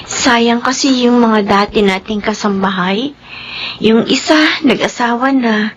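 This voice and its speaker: A kind, worried young woman of the house in a radio drama, played by a woman. She speaks in a soft, earnest, fairly high voice with a faint hiss in the background. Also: measured pace, dull audio, lightly acted.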